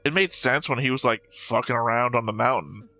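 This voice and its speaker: A man's voice: mid-range voice, fairly quick, bright, forceful tone, soft background music. A man co-hosting a reaction show with casual, blunt, opinionated commentary and free swearing.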